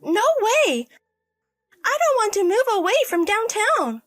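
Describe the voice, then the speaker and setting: Woman putting on a petulant young single mother, high voice, animated, wheedling, irresponsible, story drama. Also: measured pace, faint music behind, heavily acted. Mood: pleading, whiny. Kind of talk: acted dialogue in a narrated drama story.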